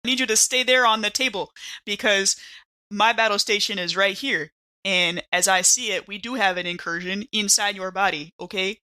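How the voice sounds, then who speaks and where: A woman playing a tabletop roleplaying game, talking casually and in character, animated and playful. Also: mid-range voice, normal speed.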